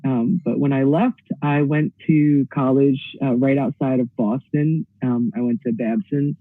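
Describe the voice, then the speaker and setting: A man's voice: mid-range voice, steady tone, unhurried, dry recording. Give me an upbeat, eager man from Hawaii, a performer dialing in remotely, speaking with many fillers over a tinny, phone-like line with a dry sound. His introduction should sound enthusiastic. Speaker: poet and performer. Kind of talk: panel self-introduction.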